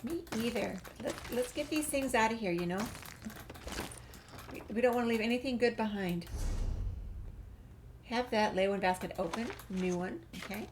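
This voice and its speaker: A woman's voice: on the high side, normal speed, long pauses, background noise. A woman giving casual video game commentary, chatty and musing to herself as she plays. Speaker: gamer, channel host. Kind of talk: casual let's play commentary.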